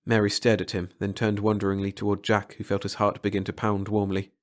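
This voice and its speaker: A man's voice: on the low side, monotone, brisk. A man reading a story aloud as an audiobook narrator, in a dramatic scripted style.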